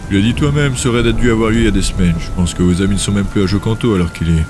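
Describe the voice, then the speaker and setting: A man's voice: fairly low pitch, racing, noisy background, natural voice. A man playing a blunt, cynical, matter-of-fact soldier in an audio drama. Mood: dry, resigned.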